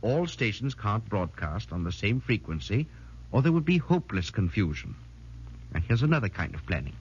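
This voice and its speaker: An actor playing an earnest foreign professor who lectures formally and emphatically in a 1940s radio drama. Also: male voice, lowish voice, very animated, normal speed, darkish tone, slightly rough, full character voice. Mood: emphatic, lecturing. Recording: muffled 1940s radio broadcast.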